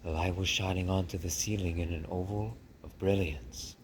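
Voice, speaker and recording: Male narrator for children's fiction: very low, unhurried, deliberate, clearly noisy recording. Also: fairly even intonation. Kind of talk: dramatic storybook narration.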